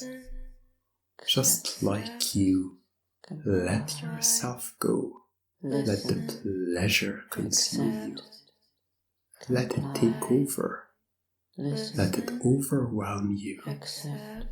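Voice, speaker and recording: A woman performing hypnosis ASMR in a breathy half-whisper, giving scripted suggestions in a repetitive, commanding, hypnotic manner. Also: extremely low pitch, roomy sound, far from the mic, poor audio.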